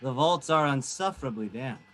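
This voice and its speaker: A man's voice: mid-range voice, lively intonation, normal speed, fairly bright tone, close to an everyday voice. A man playing a scheming nobleman who feigns concern for his friend, theatrical and coaxing, in a period stage drama. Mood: feigned concern. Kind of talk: theatrical period drama acting over video call. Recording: home video call recording with music.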